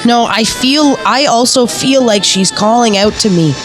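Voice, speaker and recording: An actress playing an eager, earnest ghost investigator in an audio drama, talking in a hesitant yet insistent way. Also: female voice, on the high side, unhurried, over music, lightly acted. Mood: earnest, concerned. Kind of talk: audio drama acting, naturalistic interview dialogue.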